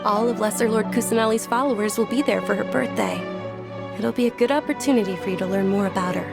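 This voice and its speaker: A woman's voice: mid-range voice, fast talker, creaky, over loud music, close to an everyday voice. A woman playing a kind, genteel young noblewoman in a video game, polite and encouraging. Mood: inviting. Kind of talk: video game cutscene acting.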